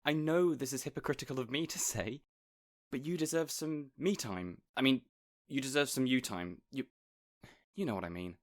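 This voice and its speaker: A man's voice: mid-range voice, moderate pace, close to an everyday voice. A man playing an affable, eager supervillain boss. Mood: earnest, appreciative. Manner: awkwardly earnest, chatty, playfully bossy. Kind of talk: audio roleplay acting addressed to listener.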